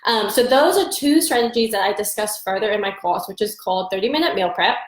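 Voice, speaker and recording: A woman's voice: mid-range voice, lively intonation, fairly quick, reverberant. A woman with a congested, stuffy voice, giving chatty, upbeat meal prep advice as host of a live stream. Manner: upbeat, chatty, greets viewers by name. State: congested from allergies. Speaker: nutrition coach hosting a live stream. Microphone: phone or webcam for a live stream.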